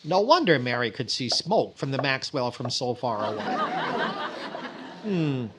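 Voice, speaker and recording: A man's voice: mid-range voice, big pitch swings, unhurried, background noise. A man playing a vain, naive, fussy comedian in 1940s radio comedy style, exclaiming and stammering. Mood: cheerfully vain. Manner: exclaiming, hesitant stammers, comic timing. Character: vain, naive, fussy comedian, easily flustered, boasting of possessions.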